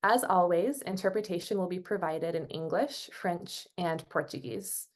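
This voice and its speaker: A woman's voice: lowish voice, normal speed. A woman giving scripted organizational updates on an online conference call in a formal, organized manner. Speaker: working group coordinator giving updates.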